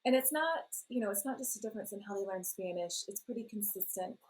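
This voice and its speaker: Relaxed, rambling American woman guest. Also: medium pitch, fast. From North Carolina, USA. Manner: relaxed, rambling, many fillers and laughs. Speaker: podcast guest, blogger. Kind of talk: casual interview chat.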